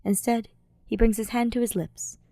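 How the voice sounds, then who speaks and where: A woman's voice: a little high, little pitch movement, moderate pace, vocal fry, warm tone, no echo. Dry-sounding woman narrating fiction. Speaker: podfic narrator. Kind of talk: scripted fiction narration, audiobook reading.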